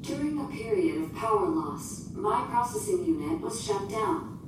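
Polite spaceship computer, female, high lilting pitch, formal procedural phrasing, unemotional temper, distant sound, sci-fi audio drama. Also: big pitch swings, normal speed. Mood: polite, detached. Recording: distant, echoing, noisy with rumble.

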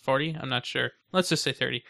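A man co-hosting a casual podcast, speaking in a dry, matter-of-fact, gently teasing way. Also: medium pitch, quick pace, gravelly. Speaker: podcast co-host.

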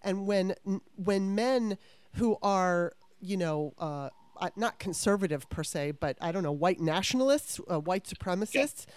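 A woman hosting a podcast, talking in a chatty, animated way with frequent restarts, close to the microphone. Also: on the low side, unhurried, clear, resonant tone, dry recording. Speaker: podcast host. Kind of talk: casual interview chat.

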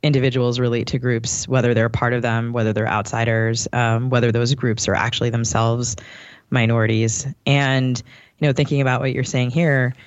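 A man's voice: mid-range voice, level tone, normal speed, no echo. A man co-hosting a podcast, interviewing conversationally and building up to his questions in a tentative, thoughtful way. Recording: dull lo-fi, dry sound.

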